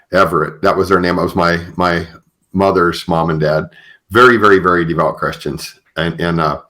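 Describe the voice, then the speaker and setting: A man hosting a podcast, telling stories conversationally in a halting way with repeated phrases. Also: sits low, a bit bright. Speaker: podcast host.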